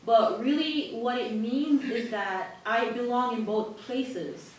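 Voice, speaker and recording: A woman on a discussion panel, talking in a thoughtful, halting, casual way. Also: fairly high pitch, slight background noise. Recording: echoey hall from far off.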